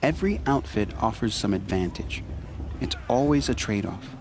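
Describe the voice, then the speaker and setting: A man playing a gentle, grateful young tailor in a video game, eager and polite with soft humor. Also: fairly low pitch, animated, moderate pace, noisy background, lightly acted. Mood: helpful, wry.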